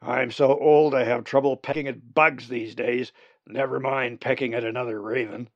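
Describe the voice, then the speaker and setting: A man voicing an old, tattered, one-eyed male raven with a raspy, theatrical, booming manner. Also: steady tone, moderate pace, no room sound, lightly acted. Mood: grand, theatrical. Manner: grand, loud, theatrical. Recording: dull lo-fi recording.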